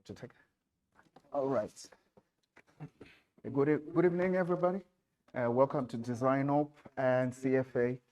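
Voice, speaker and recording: A man from Zimbabwe presents his slides in a lecture hall, his voice sitting in the middle of the male range. He talks in a halting way, stopping and restarting often and filling gaps with "uh" and "um". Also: medium pitch, darkish tone, dull audio. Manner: halting, with many fillers and restarts. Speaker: designer giving a talk.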